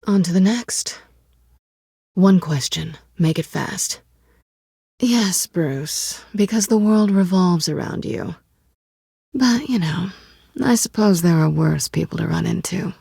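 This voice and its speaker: Sardonic female thief, video game dialogue, slightly low pitch, breathy husky voice, flirtatious, understated, dry studio sound. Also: slow pace, long pauses, dark tone, dry recording, exaggerated voice. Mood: dry, teasing.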